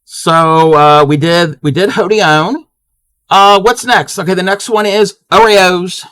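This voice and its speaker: Slow, bright-voiced chatty man streaming. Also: high voice, smooth, dry recording, rough recording. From Maryland, USA. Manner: chatty, answers chat, many restarts. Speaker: crafting live streamer. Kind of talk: casual live-stream chat.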